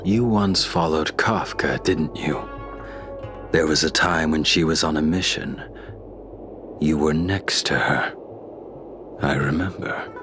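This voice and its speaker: An actor playing a grave, weary swordsman in a video game, speaking in a solemn, halting, hushed way. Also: a bass voice. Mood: grave, reflective. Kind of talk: dramatic video game voice acting. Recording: music under the voice.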